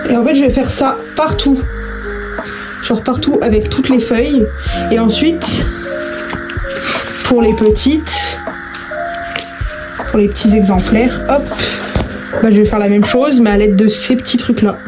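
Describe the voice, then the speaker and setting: A woman's voice: fairly high pitch, a little slow, pauses often, rough recording. Casual, hesitant woman over music. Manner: informal, hesitant, trailing off and repeating herself. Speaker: video host doing a craft tutorial. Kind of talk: casual chat to viewers while crafting. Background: background music.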